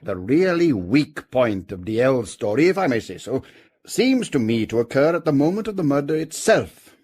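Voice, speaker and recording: A senior police chief in a detective radio drama. His voice is husky and very varied in pitch, and he sets out his reasoning formally and deliberately, with calm confidence. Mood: considered, assured.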